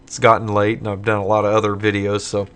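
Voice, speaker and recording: A man casually walking through code in a screen-recorded tutorial, his voice a little hoarse from a long night of recording, with many fillers as he thinks aloud. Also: lowish voice, completely flat delivery, quick pace, a bit bright. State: voice getting hoarse late at night. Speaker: tutorial maker.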